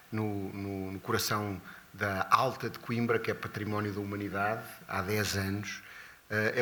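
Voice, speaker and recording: Palestrante português de voz brilhante e firme. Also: male voice, fairly low pitch, bright, forceful tone, a little hiss or hum. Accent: European Portuguese. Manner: hesitant, halting, repeats words. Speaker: guest lecturer. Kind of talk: formal lecture, partly read from a prepared text. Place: lecture before an audience at an academy.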